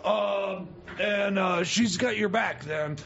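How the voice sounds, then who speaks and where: A man's voice: sits high, takes it slowly, creaky, full character voice. A man playing a frazzled, bumbling buddy on a prison visit in comedy radio theater, stammering and breaking off sentences. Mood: stammering, helpful. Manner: stammering, hesitant, scattered, constant broken-off starts. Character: Jeb, frazzled bumbling male friend visiting jailed pal. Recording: muffled lo-fi audio with loud noise.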